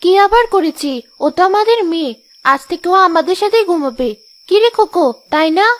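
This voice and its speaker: A woman playing a doting village wife in a cartoon story, speaking in a dramatic, expressive way. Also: very high-pitched, fairly quick. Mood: affectionate. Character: childless village wife, doting on her pet goose as a daughter. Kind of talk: Bengali cartoon story dialogue.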